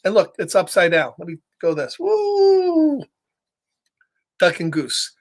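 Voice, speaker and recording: A man's voice: high-pitched, wildly swooping pitch, slow. A middle-aged man reading a picture book aloud and chatting informally with viewers as a storytime host. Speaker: children's story reader. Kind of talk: storytime read-aloud with casual chat to viewers.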